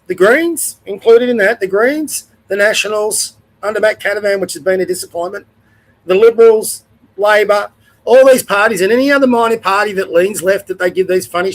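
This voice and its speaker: A man's voice: high voice, rough recording. An older man with an Australian accent, hosting a blunt, rambling opinion rant to camera, nasal and sniffly from a cold, swearing and restarting as he goes. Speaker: host.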